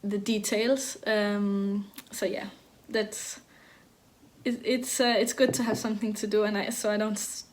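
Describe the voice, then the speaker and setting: A woman in her thirties from Denmark talks casually about knitting. Her pitch stays level and flat, and she often pauses and restarts mid-sentence. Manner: hesitant, many restarts and fillers; even, flat delivery. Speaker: knitting podcast host. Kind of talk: casual chat.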